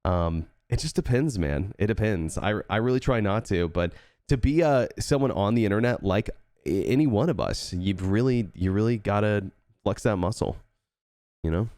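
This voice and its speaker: A man's voice: fairly low pitch, expressive, moderate pace, a little mellow, no echo. A man with a somewhat nasal voice, co-hosting a casual podcast and telling stories in a rambling, self-deprecating way. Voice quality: a bit nasal. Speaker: podcast co-host and game content creator.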